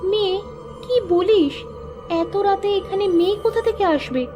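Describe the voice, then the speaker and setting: A woman playing a bossy, teasing young woman in an animated story, with music in the background. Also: very high voice, measured pace. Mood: sceptical. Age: young adult. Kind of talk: Bengali animated story dialogue.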